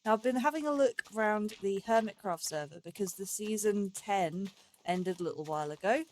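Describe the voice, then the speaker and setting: A woman's voice: mid-range voice, a little fast, a little mellow, rough recording. A woman giving casual let's play commentary as the host of a gaming series, rambling and thinking aloud as she goes.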